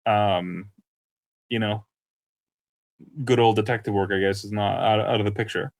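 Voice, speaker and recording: A man with a low voice talks casually as a podcast co-host. He speaks haltingly, circling back over phrases as he thinks, in a dry, close-sounding recording. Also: steady tone, dry recording. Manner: halting, searching for words with repeated phrases. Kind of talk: casual, unscripted discussion.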